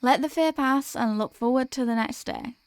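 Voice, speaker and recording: A woman playing a gentle, protective sleep paralysis demon in an ASMR comfort roleplay, speaking in a soothing, tender, reassuring way. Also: high-pitched, normal speed, clear, resonant tone, no echo.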